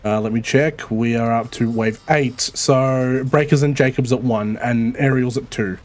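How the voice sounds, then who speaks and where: A man with an Australian Melbourne accent chatting casually while streaming a co-op game, swearing freely and rambling quickly. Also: medium pitch, a little mellow. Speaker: streamer playing co-op. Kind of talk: casual gaming chat.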